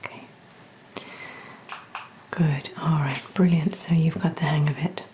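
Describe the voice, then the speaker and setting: A woman speaking in a breathy whisper, gently reassuring and encouraging, as an examiner in an ASMR medical role-play. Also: sits low, fairly even intonation, a little slow, background noise. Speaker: ASMR performer role-playing a hearing examiner.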